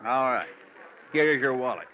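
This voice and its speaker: An American man playing a suspicious, blustering small-town sheriff in an old radio drama, with a gruff, accusing manner. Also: mid-range voice, expressive, measured pace, close to an everyday voice. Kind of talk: 1940s-50s radio drama acting. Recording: dull vintage radio recording, faint noise.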